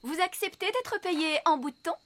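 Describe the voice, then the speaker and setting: A woman's voice: very high-pitched, moderate pace, fairly bright tone, slight background noise. A woman playing a regal, practical fairy queen and mother in a children's cartoon, polite and slightly exasperated. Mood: puzzled, exasperated. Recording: music behind the voice.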